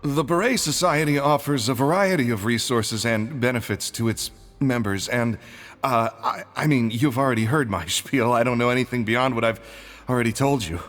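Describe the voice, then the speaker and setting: A man's voice: mid-range voice, normal speed, fairly bright tone, lightly acted. A man voicing an anxious, defensive receptionist in a video game, polite but hesitant and faltering. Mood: uneasy. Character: anxious, defensive male club receptionist reciting spiel.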